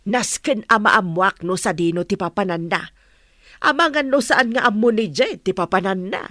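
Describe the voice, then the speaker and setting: A woman's voice: medium pitch, normal speed, a bit bright, no echo, studio quality, close to an everyday voice. An actress with a Filipino accent playing a suspicious, nagging older mother who questions and scolds, in a radio drama. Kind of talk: Ilocano radio drama acting.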